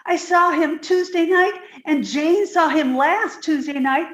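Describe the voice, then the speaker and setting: A woman's voice: extremely high pitch, unhurried, echoey room. A woman playing a sweet, naive, scatterbrained young secretary in an old-time radio comedy, earnest and easily distracted. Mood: sleepy, earnest.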